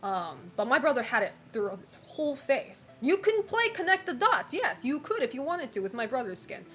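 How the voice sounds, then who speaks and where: A young woman streamer in casual chat, frank and talkative, laughing often and filling pauses with "like" and "um". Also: high-pitched, sing-song, bright, forceful tone, soft background music. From United States and Japan. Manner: chatty, frank, laughs often, many fillers. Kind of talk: casual chat reading viewer messages.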